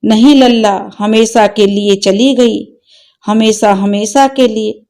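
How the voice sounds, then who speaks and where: An elderly grandmother, as a woman narrator plays her, speaks tenderly and sorrowfully. The voice is dark and mellow, and it moves slowly, as in a spoken storybook. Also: mid-range voice, fairly even intonation. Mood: grieving. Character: old grandmother, grieving and tender.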